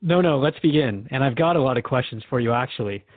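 A man's voice: medium pitch, lively intonation, fast, no echo, close to an everyday voice. A man from Canada playing a skeptical, slightly flustered radio host in a drama, hesitant and conversational as he questions his guest. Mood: curious, probing. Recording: thin phone-like band-limited audio.

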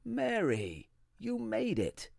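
A genial Victorian host played by a male actor, sociable and proud of his table. His voice swings widely in pitch with some gravel, on a slightly muffled dry radio-play recording. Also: wildly swooping pitch, slow, background noise, exaggerated voice. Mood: welcoming.

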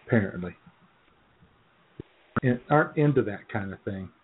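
A man's voice: on the low side, expressive, normal speed, a little hiss or hum. A man co-hosting a casual radio show with jokey, rambling, slightly hesitant banter. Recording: thin phone-like audio.

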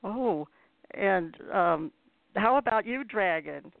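Talk radio host: woman, conversational and deliberate, medium-pitched, thin phone-line sound. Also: lively intonation, creaky, no echo. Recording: phone-like narrow-band audio.

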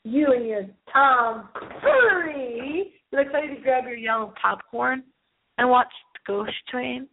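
High-voiced theatrical woman on a phone-like line. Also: lively intonation, slow pace, husky. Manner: theatrical, repeats phrases, drifts into asides. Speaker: radio show host. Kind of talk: dramatic, rambling late-night horror movie show monologue. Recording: thin, phone-like lo-fi sound.

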